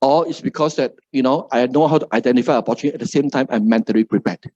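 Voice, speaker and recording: A man's voice: medium pitch, fast talker, warm tone, no echo, lo-fi sound. A middle-aged man from Malaysia with a Malaysian English accent gives a seminar talk to a live audience, ending nearly every phrase with "right?". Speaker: motivational speaker.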